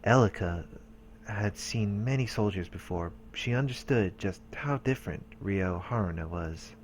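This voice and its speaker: A man's voice: fairly low pitch, a little slow, slight background noise. A man hosting a let's play, casually reading a visual novel's story text aloud. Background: game music.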